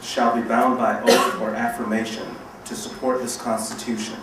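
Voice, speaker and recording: A man's voice: mid-range voice, level tone, normal speed. A man giving a formal public reading of a legal document. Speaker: bar association officer reading aloud. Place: large reverberant room at a public event. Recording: very echoey hall, distant mic, clear noise.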